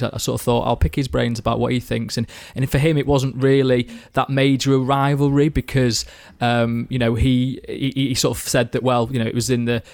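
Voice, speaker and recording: A man's voice: mid-range voice, a little mellow, no room sound. A young man from the Manchester area chatting casually about football, rambling with lots of fillers and restarts. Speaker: football podcast guest. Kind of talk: casual chat.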